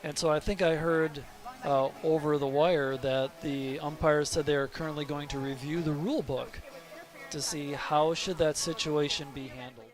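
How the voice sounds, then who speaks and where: A man doing live sports commentary, deliberate and questioning as he works through the play. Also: a little high, fairly even intonation. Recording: live sports broadcast with music behind.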